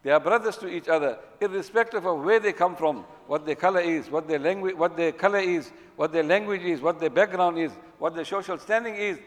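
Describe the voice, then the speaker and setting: Fast-talking male preacher in an echoey hall. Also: sits high. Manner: emphatic, repeats phrases for stress. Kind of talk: religious sermon. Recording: echoey hall with long reverb.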